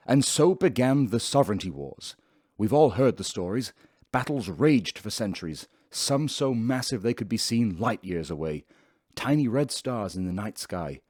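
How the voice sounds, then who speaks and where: A man's voice: medium pitch, darkish tone, no room sound. A pompous male academic with a mid-range voice and sweeping intonation. He lectures formally and pedantically in a dry, close recording, a self-important figure from a sci-fi radio drama.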